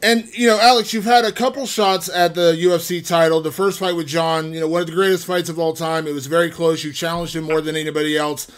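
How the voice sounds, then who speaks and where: A male reporter with a notably high voice. He puts long, conversational questions to interviewees. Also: steady tone, fast talker, few pauses, clean tone.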